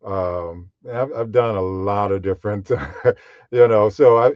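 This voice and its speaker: A deep-voiced man sits for a podcast interview about his voiceover work. He talks in a measured, unhurried way, with plenty of fillers. His low voice comes through slightly muffled and very dry. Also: no room sound. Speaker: guest voiceover artist.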